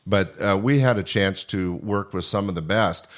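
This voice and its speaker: Low-voiced older American man hosting. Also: moderate pace, a bit bright. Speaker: podcast host. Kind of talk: casual reminiscing interview.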